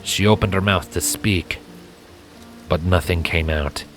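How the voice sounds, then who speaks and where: A man's voice: sits low, fairly even intonation, unhurried. A man reading fan fiction as a dramatic, scripted storybook narration. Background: music and rain effects.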